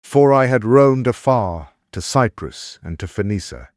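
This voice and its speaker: A man's voice: mid-range voice, lively intonation, measured pace, dry recording, studio quality, natural voice. An actor playing a proud, hospitable king in an epic audio drama, with stately, declamatory archaic diction. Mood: solemn, reflective. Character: proud, hospitable fair-haired warrior king, commanding and worldly.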